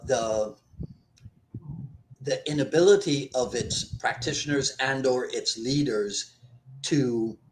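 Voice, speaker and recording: A man's voice: mid-range voice, a little hiss or hum, low-quality recording. A man from the Caribbean, a martial arts instructor talking in a casual discussion, thoughtful and full of restarts. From Trinidad, raised in Barbados. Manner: thoughtful, restarts often, stresses key points.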